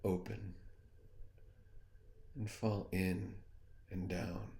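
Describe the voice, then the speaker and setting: Slow, low-voiced man guiding meditation. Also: little pitch movement, vocal fry. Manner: soothing, gently suggestive, unhurried with long pauses. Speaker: guided meditation teacher. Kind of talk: guided meditation, hypnotic spoken guidance.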